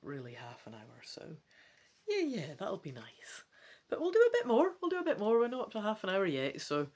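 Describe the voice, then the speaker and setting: A woman's voice: mid-range voice, moderate pace. A woman hosting a gamebook let's play, switching between casual, chatty asides and dramatic reading aloud. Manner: chatty, animated, self-questioning asides.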